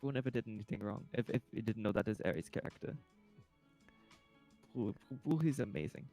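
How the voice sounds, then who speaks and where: A man's voice: lowish voice, brisk, warm tone, soft background music, low-quality recording. Rambling man chatting on a livestream. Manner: rambling, with many ums and self-corrections. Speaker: streamer and voice actor. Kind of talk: casual livestream chat.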